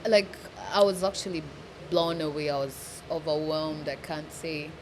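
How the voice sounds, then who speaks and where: Animated young woman storyteller. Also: low-pitched, a little slow, background noise. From Uganda. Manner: animated storyteller who acts out quoted voices. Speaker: podcast guest, radio MC. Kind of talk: casual podcast chat.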